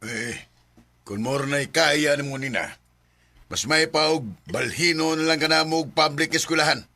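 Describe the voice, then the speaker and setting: Stern father with a forceful voice. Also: on the high side, moderate pace, gravelly. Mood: dismissive. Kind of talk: Philippine radio drama acting.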